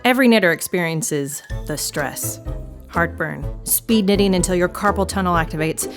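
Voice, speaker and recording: A woman's voice: fairly low pitch, normal speed, music in the background, close to an everyday voice. An actress playing a frazzled, wry woman knitter, telling her story in a confiding, sarcastic, exasperated monologue. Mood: weary, overwhelmed. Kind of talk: audio drama monologue, conversational storytelling to listener.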